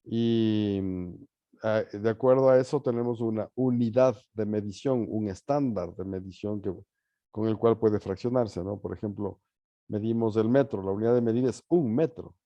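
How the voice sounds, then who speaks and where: A man's voice: on the low side, moderate pace, dry recording. Male teacher lecturing, slightly muffled audio. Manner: hesitant, checks understanding with short tag questions. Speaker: university teacher leading an online or live class. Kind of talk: informal lecture with questions to students.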